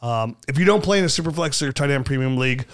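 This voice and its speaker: A man's voice: medium pitch, expressive, fast, fairly bright tone. A man hosting a casual podcast while sick with a cold, talking in an easygoing, rambling way and cracking jokes. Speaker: podcast host.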